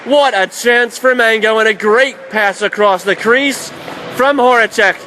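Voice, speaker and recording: A man's voice: sits high, unhurried, pushed and bright. A man doing live radio play-by-play of ice hockey in clipped, vivid phrases from a noisy arena. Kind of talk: live sports commentary. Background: clear arena background noise.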